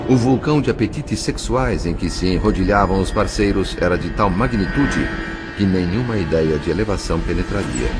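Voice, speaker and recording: A man with a Brazilian accent narrating an audio novel in a solemn, measured, dramatic storytelling style. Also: deep voice, background noise. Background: music.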